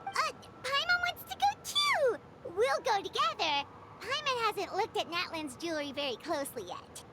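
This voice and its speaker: A woman voicing an excitable tiny fairy companion in a video game who talks about herself in the third person. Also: very high voice, normal speed, heavily acted. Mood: eager. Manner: eager, bouncy, refers to herself by name. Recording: game audio with clear background noise.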